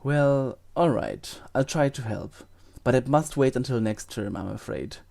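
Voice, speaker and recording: Man acting a gentle, weary wizard teacher with mellow voice. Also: medium pitch, expressive, unhurried, gravelly. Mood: measured, reluctant. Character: Lupin, gentle weary male wizard teacher, grave and reassuring. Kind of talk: audio drama acting.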